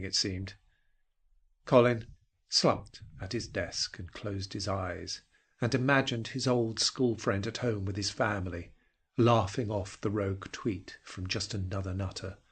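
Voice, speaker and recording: A man narrating a scripted audio drama with intense, dramatic, deliberate phrasing. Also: on the low side, steady tone, breathy edge.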